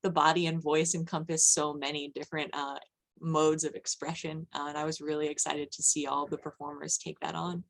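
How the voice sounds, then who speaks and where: A woman with a markedly low voice speaks as a panelist. Her delivery is conversational and reflective, thinking aloud as she goes. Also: level tone, fairly quick, creaky. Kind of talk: casual, reflective panel talk.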